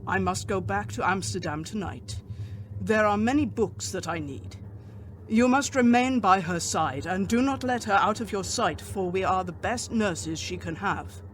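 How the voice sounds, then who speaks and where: A man's voice: sits high, measured pace, a bit bright. A man playing a grave, commanding older professor and doctor in a gothic radio drama, speaking formally and solemnly. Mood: earnest, firm. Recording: clearly noisy background.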